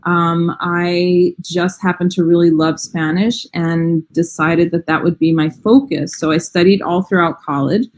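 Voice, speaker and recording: Casting: American woman in her forties, slightly low voice, chatty and enthusiastic, lots of "um" and "you know". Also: fairly even intonation, measured pace, hardly any pauses. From United States. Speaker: language teacher, interview guest. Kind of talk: casual interview chat.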